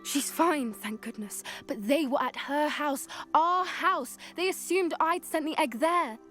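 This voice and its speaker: Earnest, high-voiced young witch. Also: measured pace, a bit bright. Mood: anxious, relieved. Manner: earnest, troubled, heartfelt. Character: earnest, anxious teenage witch who loves creatures. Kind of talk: video game cutscene dialogue. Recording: faint music behind the voice.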